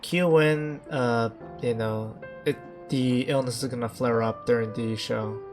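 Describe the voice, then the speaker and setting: Casual male streamer, mid-pitched. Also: fairly even intonation, measured pace. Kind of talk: casual gameplay commentary. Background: game music.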